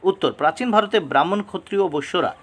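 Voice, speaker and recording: A man's voice: sits high, fast, a bit bright, slightly rough. A man tutoring for history exams, reading questions and model answers aloud in a didactic way, announcing each item and repeating key terms.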